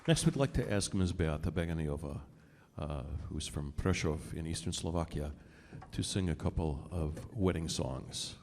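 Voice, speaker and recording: A man introducing his fellow musicians and a guest singer from a concert stage, announcing with pauses. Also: very deep voice, darkish tone. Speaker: band member introducing performers. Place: large concert hall stage. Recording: live hall, audience and noise.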